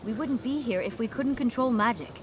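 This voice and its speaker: A woman's voice: a little high, a little fast, background noise, lightly acted. A woman playing a confident, impatient young mage apprentice in a video game, insistent and challenging. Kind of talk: video game character dialogue.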